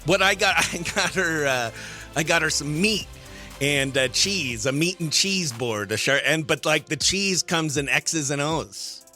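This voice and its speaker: Bright, higher-voiced male radio host. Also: unhurried, faint music behind. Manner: jokey, chatty. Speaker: radio morning show host. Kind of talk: casual phone-in chat and show patter.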